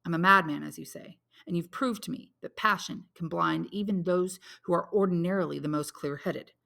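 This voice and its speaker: An honorable, lovelorn young man from a classic novel read by a woman, earnest and intense. The voice sits fairly low with a creaky edge, solemn and grimly resolute. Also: normal speed, bright, forceful tone. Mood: bitter resignation. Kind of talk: dramatic audiobook character reading.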